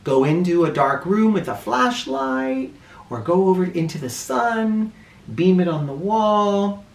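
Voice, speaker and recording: Slow, expressive man reading aloud, echoey room. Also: sits high, gravelly. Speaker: children's read-aloud host. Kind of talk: animated storybook narration for children. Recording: echoey room, distant mic.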